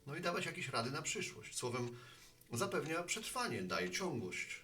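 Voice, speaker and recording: Man playing a bright-voiced, genial postman. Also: on the high side, big pitch swings, normal speed. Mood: thoughtful. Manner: colloquial, thoughtful. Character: genial male postman, a chatty homespun philosopher. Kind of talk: Polish audio drama dialogue.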